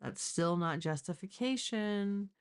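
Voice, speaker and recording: A woman's voice: medium pitch, clean tone. A woman hosting a live stream, giving casual, blunt and mocking reaction commentary full of exclamations. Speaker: live stream host reacting to a crime case.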